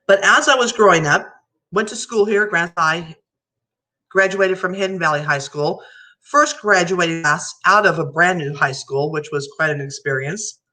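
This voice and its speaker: A middle-aged woman and mayoral candidate giving a chatty, storytelling forum speech, partly read, with lively asides. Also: lowish voice, moderate pace. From United States. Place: public forum room.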